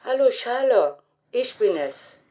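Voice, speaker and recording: An actress playing an earnest, grateful caller leaving a measured voicemail message in an audio drama. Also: female voice, fairly high pitch, sing-song, dark tone. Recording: distant, muffled voicemail playback.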